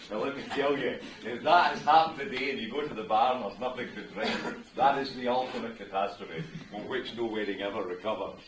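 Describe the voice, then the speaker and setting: Jovial Scottish minister in an echoey hall. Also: male voice, mid-range voice, fairly quick, few pauses. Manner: jovial, joking, chatty. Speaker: wedding minister. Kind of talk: officiating a wedding ceremony. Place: wedding venue indoors. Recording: roomy, distant mic with venue noise.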